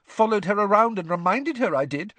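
Male reader as teenage girl ghost, very high, shrill, wailing, glum, self-pitying, easily offended, storybook character, swooping intonation. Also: animated, a bit bright, no echo. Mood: aggrieved, self-pitying. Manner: wailing, drawn-out, mournful delivery.

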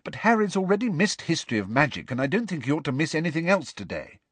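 A man's voice: on the high side, big pitch swings, fast talker, a bit bright, breathy edge, no room sound, voice pushed up, exaggerated voice. A man voicing a stern, bookish teenage schoolgirl who talks severely and loftily. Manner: severe and lofty.

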